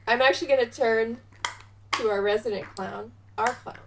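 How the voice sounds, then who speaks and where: A woman's voice: a little high, steady tone, normal speed, noisy background. A woman playing a tabletop game, casually stating her character's actions and lines.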